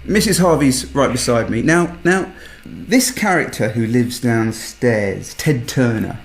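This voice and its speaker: An actor playing a polite, officious British policeman in a radio drama, halting and apologetic. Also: medium pitch, expressive, creaky. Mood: apologetic, probing. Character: polite, hesitant policeman delivering awkward news. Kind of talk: naturalistic radio drama acting.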